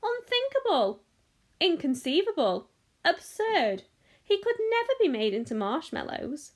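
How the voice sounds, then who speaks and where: A woman voicing an eccentric, excitable gentleman chocolate maker in a storybook, emphatic and blithely unworried. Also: high voice, unhurried, pitched above the performer's own voice. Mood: emphatic, incredulous.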